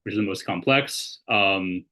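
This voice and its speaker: A man appearing as a podcast guest, explaining in a measured way and reading quotes aloud. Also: low-pitched, bright, forceful tone. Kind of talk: casual discussion, reading quotes.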